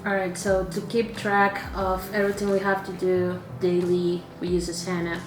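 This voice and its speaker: Flat-voiced young woman from Venezuela. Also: on the low side, normal speed, reverberant, far from the mic, background noise. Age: 20s. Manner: brief, plain answers. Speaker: executive assistant. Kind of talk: casual interview answers.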